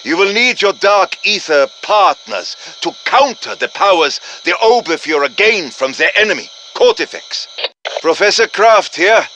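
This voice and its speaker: An actor playing an urgent, commanding professor who briefs soldiers in a deliberate, dramatic manner, as video game voice lines. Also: male voice, sits high, lively intonation, pushed and bright, a little hiss or hum, exaggerated voice, low-quality recording. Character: urgent, commanding male professor guiding soldiers against demons. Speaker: game character voice, mission guide.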